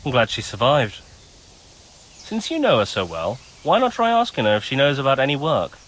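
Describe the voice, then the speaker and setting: A man's voice: medium pitch, huge pitch swings, normal speed, fairly bright tone. A man playing an easygoing, work-shy village carpenter in a video game, casual and matter-of-fact. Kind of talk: video game cutscene dialogue.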